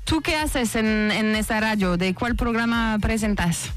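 A woman's voice: a little high, measured pace, faint music behind. A woman interpreting informally and live on a radio show, from a studio. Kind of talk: informal live interpreting between French and Spanish. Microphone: studio microphone on boom arm.

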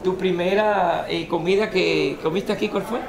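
A man's voice: high-pitched, fairly even intonation, moderate pace, vocal fry, roomy sound, crowd noise. A middle-aged man with a Dominican accent, chattily presenting a variety show as host. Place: indoor restaurant lounge.

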